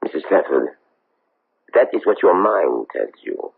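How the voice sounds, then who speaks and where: An actor playing a persistent, formal foreign Nazi hunter in a radio drama, coolly probing and insistent. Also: male voice, low-pitched, big pitch swings, measured pace, creaky, slightly rough, heavily acted. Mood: pressing, insistent. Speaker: investigator seeking a war criminal's extradition. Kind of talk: 1970s radio drama acting. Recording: tinny, band-limited old radio broadcast.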